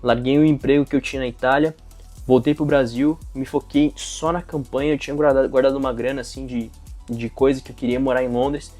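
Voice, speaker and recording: A man's voice: medium pitch, a little fast, faint music behind. A young man from Brazil talking casually to camera about his acting campaign, full of slang and swearing. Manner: slangy, profane, animated venting. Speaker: aspiring actor vlogger.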